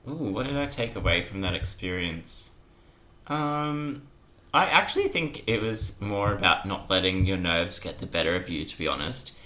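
A man's voice: low voice, animated, moderate pace, bright, forceful tone. A young man, telling anecdotes casually as a podcast guest. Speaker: podcast guest, junior doctor.

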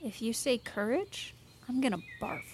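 High-voiced anxious woman, earnest and emotional. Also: big pitch swings, normal speed, a little hiss or hum. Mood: wry, relieved. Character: anxious, guilt-ridden woman, abuse survivor facing an inquiry. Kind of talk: fiction podcast audio drama acting.

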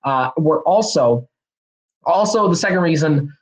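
Young male podcast host, slightly hoarse and stuffy, higher voice, rambling and upbeat. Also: a little slow, rough recording. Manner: rambling, energetic, many fillers and restarts. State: slightly hoarse and nasal from a cold. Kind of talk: casual solo podcast talk.

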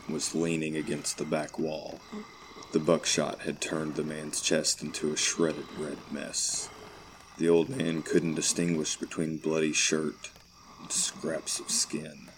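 A man's voice: very deep voice, low-quality recording. A man reading scripted narration for a horror audio play, with night insects behind him. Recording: faint background noise.

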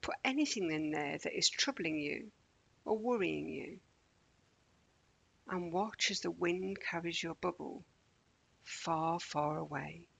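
Female meditation guide for children. Soft-edged breathy tone with vocal fry, measured and soothing delivery. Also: fairly low pitch, poor audio. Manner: gentle, measured, deliberate pauses.

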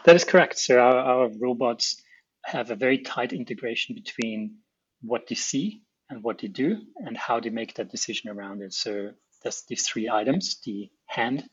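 Husky middle-aged Austrian man, roomy sound. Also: mid-range voice, moderate pace, muffled recording. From small Alpine town in Austria. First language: German. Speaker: guest, robotics researcher and company founder. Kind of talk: explanatory interview answers.

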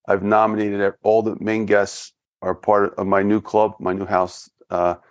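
A man with a Philadelphia accent hosting a podcast interview, talking in a halting way, often repeating his words and laughing. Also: fairly low pitch, flat delivery, no echo, muffled recording. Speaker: podcast host. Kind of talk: casual interview.

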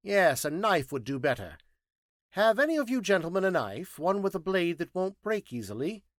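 A man's voice: high-pitched, big pitch swings, moderate pace, dry recording, clean studio sound, voice pushed up. A man voicing a curt, petulant, exacting scientist detective in an audiobook story, speaking precisely and didactically. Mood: brisk, petulant.

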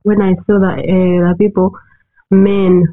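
A podcast co-host from Uganda, chatting casually and reflecting on experiences, with frequent soft laughs. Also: mid-range voice, level tone, measured pace, soft background music. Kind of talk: casual chat. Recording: tinny phone-like line.

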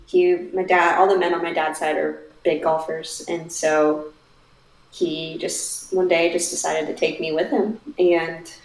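Young woman, hesitant casual guest, a bit low, roomy echo. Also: level tone, measured pace. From Minnesota, raised in Virginia. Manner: hesitant, many fillers. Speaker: guest, golfer. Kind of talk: casual podcast chat.

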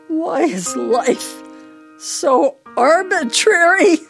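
An actress playing a forgetful elderly wife in a radio play, teasing and fretful with a deliberate, wistful manner. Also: female voice, high-pitched, animated, gravelly, fairly bright tone, soft background music, full character voice. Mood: wistful, distressed.

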